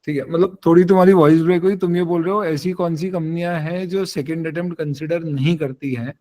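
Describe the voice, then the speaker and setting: Higher-pitched Indian man giving frank mentoring advice. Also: fairly even intonation, brisk, mellow. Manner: frank, explanatory, informal, switches between Hindi and English. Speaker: careers webinar host and mentor. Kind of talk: webinar question-and-answer advice. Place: online webinar call.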